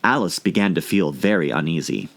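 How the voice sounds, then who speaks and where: A man's voice: low-pitched, expressive, fairly quick, gravelly, a bit bright. A man reading a children's storybook aloud as scripted audiobook narration. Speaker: audiobook reader.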